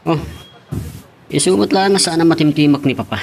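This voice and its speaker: A man's voice: on the high side, fairly quick, creaky, darkish tone, a little hiss or hum. A man playing an easygoing young husband in an Ilocano audio drama, with an Ilocano accent. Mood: casual. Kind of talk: Ilocano radio-style drama acting. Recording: music behind the voice.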